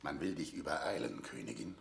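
An actor playing an aged, grave nobleman counsellor who pleads solemnly and urgently in a classical radio drama, with a gravelly voice. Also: very deep voice, expressive, brisk, echoey room, a little hiss or hum, exaggerated voice. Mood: urgent pleading. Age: older. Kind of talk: classical German stage-verse radio drama.

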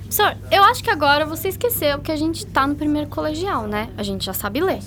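A woman's voice: high voice, expressive, fast, noisy background. A woman playing a curious, outspoken teenage schoolgirl in a Brazilian radio drama, questioning in an informal way. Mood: hesitant, challenging.

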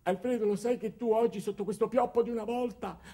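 A pushy, gushing do-gooder from a hobby club in a radio comedy. His voice sits high for a man, and he repeats phrases insistently. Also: fairly even intonation, fast, warm tone. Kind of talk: comic radio drama dialogue.

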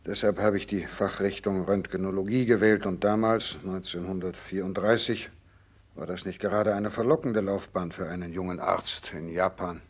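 A gravely ill radiologist in a 1960s radio drama. The voice is fairly low and husky, and he speaks with measured, earnest resolve, insisting on his work despite his illness. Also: steady tone. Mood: grave, resolute. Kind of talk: 1960s German radio play acting.

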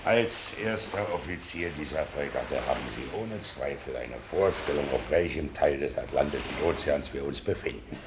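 A sarcastic, very deep sea captain. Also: male voice, lively intonation, normal speed, hardly any pauses, background noise. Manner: sarcastic, commanding. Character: sarcastic stern naval captain, bullying. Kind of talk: 1970s radio play acting.

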